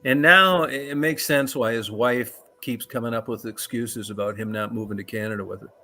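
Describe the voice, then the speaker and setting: A man's voice: medium pitch, fairly quick, pushed and bright. A man hosting a casual talk show, rambling with fillers and crude jokes. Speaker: show host.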